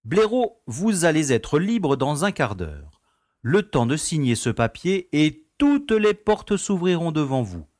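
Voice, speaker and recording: An actor playing an easygoing, self-important prison director in an audio comedy, speaking in a courteous, formal, paternal way. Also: male voice, on the high side, sing-song, takes it slowly, a little mellow, dry recording, studio quality. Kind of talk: French radio comedy acting.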